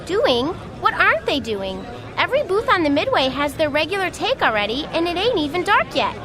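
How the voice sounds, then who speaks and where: An actress playing a brash, excitable female carnival worker, slangy, pushy and teasing, in an audio drama. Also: very high voice, a little fast, crowd noise. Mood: sassy, impressed.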